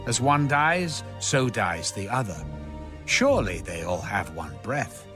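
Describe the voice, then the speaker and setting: Slow, expressive man over prominent music. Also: fairly low pitch. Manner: measured, dramatic reading. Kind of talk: solemn audio Bible narration. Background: background music.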